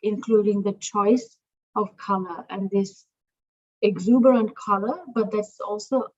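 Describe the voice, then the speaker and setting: A middle-aged woman from Sri Lanka, a museum director speaking as a guest in an interview, thoughtful and searching for words with many pauses and fillers. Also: mid-range voice, fairly even intonation. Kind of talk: interview conversation. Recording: dull lo-fi sound.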